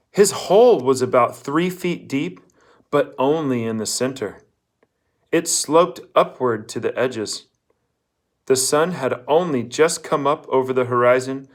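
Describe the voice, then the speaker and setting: A man's voice: mid-range voice, fairly bright tone. A man narrating a children's novel, reading aloud from the text as a storyteller. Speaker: audiobook reader.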